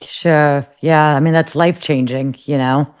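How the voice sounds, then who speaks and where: Podcast host guy, voice a bit on the high side, lots of ums and sures. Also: fairly even intonation, a little slow, clear, resonant tone. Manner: affirming, many fillers. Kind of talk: interview questions, casual chat.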